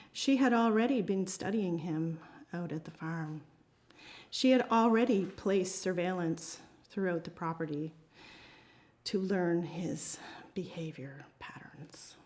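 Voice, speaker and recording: A woman's voice: lowish voice, a little mellow. A woman, an amateur writer reading and introducing her own work aloud to a group.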